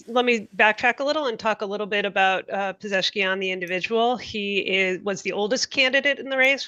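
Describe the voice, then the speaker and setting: A woman speaking as an analyst on a panel, giving a measured, articulate account. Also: mid-range voice, pushed and bright. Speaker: policy analyst. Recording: dull, dry lo-fi sound.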